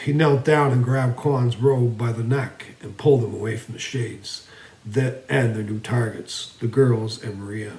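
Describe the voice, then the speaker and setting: A man narrating a fantasy story theatrically, swinging between emphatic and hushed delivery. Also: medium pitch, fairly even intonation, a little slow. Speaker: storyteller reading own fantasy series. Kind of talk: dramatic fantasy audiobook narration. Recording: roomy, echoing voice recording.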